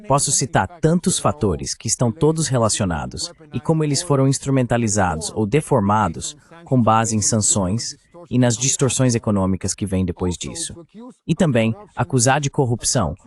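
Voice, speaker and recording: A man's voice: mid-range voice, darkish tone. A man with a Brazilian accent speaking as an interview guest, giving political analysis in a translated voice-over.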